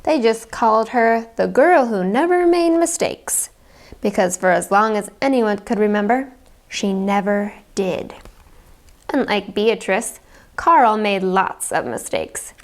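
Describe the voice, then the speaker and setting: A woman narrating a children's story aloud in an expressive, carefully enunciated way. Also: mid-range voice, slow pace. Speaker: storybook reader.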